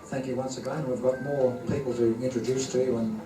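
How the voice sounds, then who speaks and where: A man's voice: mid-range voice, fairly even intonation, brisk, over music. A man giving a chairman's speech at an evening party, rambling and hesitant with many fillers. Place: function room at an evening party. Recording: echoey room, far-off mic.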